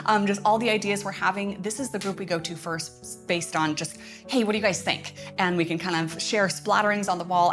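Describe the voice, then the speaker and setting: A woman giving casual livestream announcements as a host, speaking in a chatty, enthusiastic way. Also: fairly low pitch. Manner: chatty, enthusiastic, often chuckling. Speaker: host of a curriculum update livestream.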